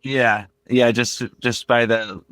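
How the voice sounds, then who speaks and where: Thirties man, podcast guest, lively and chatty, mid-pitched, dry sound. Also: slow, no echo. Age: 30s. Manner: animated, peppered with "like" and "dude". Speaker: guest musician. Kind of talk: casual podcast chat. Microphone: remote call.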